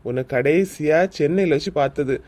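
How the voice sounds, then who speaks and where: A man's voice: on the high side, normal speed, close to an everyday voice. A man voicing a friendly, easily amazed office-worker husband in a cartoon comedy, with a Kanyakumari Tamil accent. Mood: chatty. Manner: friendly, excitable.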